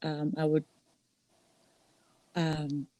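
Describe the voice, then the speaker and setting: A woman's voice: low-pitched, completely flat delivery, extremely slow, mellow, dry recording. Chatty young woman from Costa Rica. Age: 20s. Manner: chatty, many ums and restarts. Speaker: guest, salon owner. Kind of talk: casual interview.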